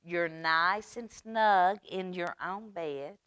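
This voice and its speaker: A woman's voice: lowish voice, slow pace, a bit bright, clean tone, no room sound, clean studio sound. A woman voicing a big grown-up male mouse in a storybook, a firm, weary and caring caretaker. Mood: weary, soothing.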